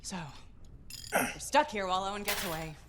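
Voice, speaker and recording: A woman's voice: medium pitch, animated, moderate pace, noisy background, close to an everyday voice. A woman playing a headstrong, self-blaming pilot in a video game, with direct, urgent, naturalistic delivery. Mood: frustrated. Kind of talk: cinematic video game acting. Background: music.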